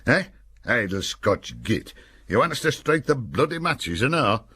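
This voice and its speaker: A man voicing grumbling Cumbrian soldiers of the 1940s in rough, slangy, sardonic banter for a war memoir. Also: mid-range voice, big pitch swings, unhurried, pushed and bright, dry recording, voice pushed up, full character voice. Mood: indignant moaning.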